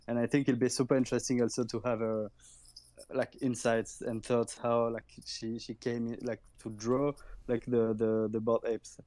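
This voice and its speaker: A man from an auction house explaining a sale in a live audio chat, halting with many fillers and restarts. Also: medium pitch, darkish tone, no echo. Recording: noisy stream audio.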